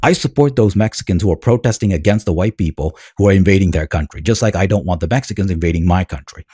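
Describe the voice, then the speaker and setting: A man's voice: low-pitched, quick pace, dark tone, husky, dry recording, studio quality. An American man ranting bluntly and combatively in a commentary vlog. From United States. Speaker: political commentator.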